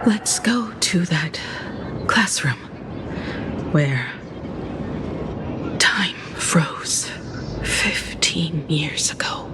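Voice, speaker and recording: An actress voicing a somber, composed woman haunted by the past, speaking in a measured, reflective way in a video game. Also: low-pitched, lively intonation, whispered, full character voice. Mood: quietly resolute. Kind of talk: dramatic video game voice acting. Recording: loud music under the voice. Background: game music.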